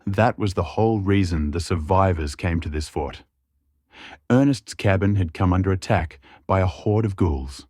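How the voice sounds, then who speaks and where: A man's voice: low voice, moderate pace, a little mellow, no room sound, studio quality. A man reading scripted fantasy fiction as a storyteller. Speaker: storyteller, narrator.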